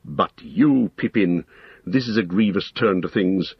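A man voicing a grave, commanding old male wizard in a storybook reading, speaking sternly and deliberately. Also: deep voice, lively intonation, husky, no room sound, highs cut off, exaggerated voice.

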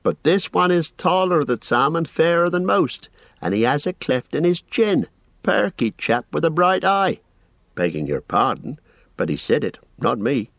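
Flustered old innkeeper, as a male reader puts him on; high, lively, rambling, dry sound. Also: gravelly, no room sound, heavily acted. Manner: rambling, flustered, drawn-out phrasing. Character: fussy, forgetful, anxious old male innkeeper.

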